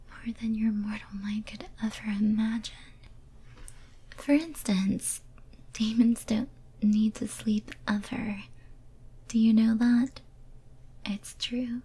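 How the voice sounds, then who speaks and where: A woman playing a lonely, obsessive female demon in a whispered ASMR roleplay, speaking softly and breathily in a tender, intimate, hesitant way. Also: mid-range voice, breathy edge. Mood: devoted, obsessive.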